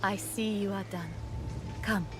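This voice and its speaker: A woman's voice: mid-range voice, fairly even intonation, unhurried, lightly acted. Poised noblewoman played by a woman, music behind. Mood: composed. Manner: formal, composed. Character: gracious, dignified noblewoman of feudal Japan, poised and devout. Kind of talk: video game cutscene acting.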